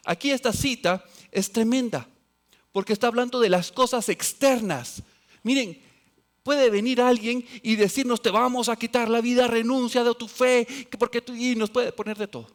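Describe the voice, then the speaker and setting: High-voiced man preaching a sermon. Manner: swings between loud exclamations and quiet, low asides; quotes scripture and acts out voices of others. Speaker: pastor.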